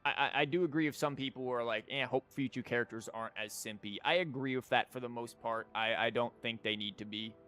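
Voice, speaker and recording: A man's voice: mid-range voice, fairly even intonation, fast, hardly any pauses, sharp tone. A man giving casual livestream commentary on a game, rambling informally and thinking aloud with false starts. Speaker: video game streamer.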